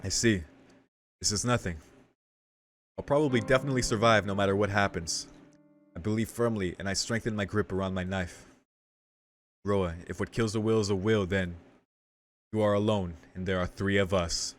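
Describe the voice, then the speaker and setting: A man's voice: on the low side, fairly even intonation, moderate pace, pauses often. A man reads visual novel text aloud as a streamer. His delivery is casual and slangy, with offhand reactions, and game music plays behind his voice.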